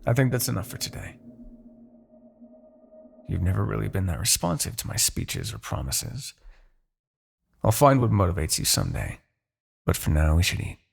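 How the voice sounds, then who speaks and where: A man playing a haughty, seductive demon king in a fantasy audio roleplay, persuading with silky condescension, dramatic pauses and a gravelly voice. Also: very animated, exaggerated voice. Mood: composed, courteous. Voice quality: gravelly, creaky low voice. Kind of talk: fantasy ASMR roleplay monologue.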